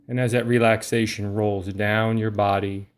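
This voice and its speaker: A man narrating a guided relaxation meditation in a soothing, lulling manner, leaving long pauses between short phrases. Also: lowish voice, little pitch movement.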